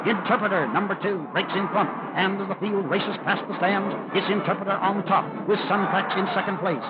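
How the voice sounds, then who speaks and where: An American man calls a horse race as a 1930s sportscaster. His voice is high and urgent, on dull vintage broadcast sound. Loud music runs behind the commentary.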